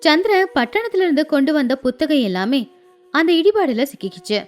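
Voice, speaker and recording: A female storyteller reads scripted narration for a cartoon fable. Her voice is high and very varied in pitch. Music plays clearly underneath. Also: very animated, brisk.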